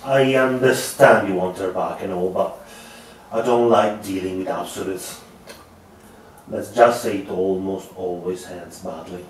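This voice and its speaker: Private eye, male actor: weary, guarded, lowish voice, thriller table read, very roomy distant sound. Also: steady tone, a little slow. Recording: roomy, distant mic with faint noise.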